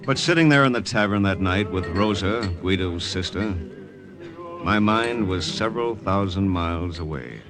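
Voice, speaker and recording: Actor as a sober American wartime agent, music behind. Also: male voice, low voice, normal speed, natural voice. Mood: grave, reflective. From United States. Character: sober, principled American wartime secret agent recounting his mission. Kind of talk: 1950s radio drama acting and narration. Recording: old-time radio recording with music behind.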